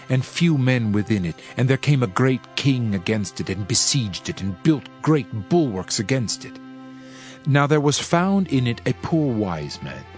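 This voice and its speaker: A man playing a solemn ancient preacher-sage in a dramatized King James scripture reading, measured and reflective, with archaic diction. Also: lowish voice. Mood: earnest, grave. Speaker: scripture reader in a dramatized audio Bible.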